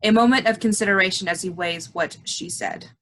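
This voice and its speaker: A woman's voice: mid-range voice, fairly quick, fairly bright tone. A woman reading the scripted stage directions of a play, with some background noise.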